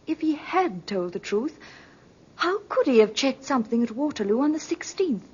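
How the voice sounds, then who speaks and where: A woman's voice: high voice, sing-song, moderate pace, husky, heavily acted. An actress playing a guarded, suspicious married woman in a 1950s radio drama, hesitant and reserved. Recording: muffled old radio recording.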